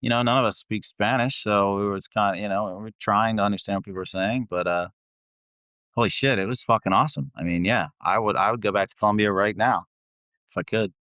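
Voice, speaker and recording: A man's voice: on the low side, fairly even intonation, a little fast, sharp tone, no echo. A man who sings in a band, chatting as a guest and telling tour stories in a laid-back, slangy, sweary way. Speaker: band vocalist, interview guest.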